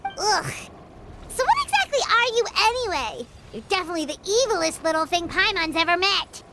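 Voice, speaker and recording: A woman voicing a small, excitable female companion who talks about herself in the third person with lots of exclamations. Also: extremely high pitch, moderate pace, heavy background noise. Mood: disgusted.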